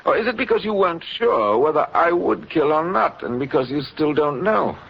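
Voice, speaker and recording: An actor playing a suave, coolly menacing doctor with measured, polite speech in 1940s radio style. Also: male voice, a little high, expressive, vocal fry, slightly rough, exaggerated voice. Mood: taunting, cold. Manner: measured, polite, sinister, mock-hesitant. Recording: dull, lo-fi 1940s radio recording.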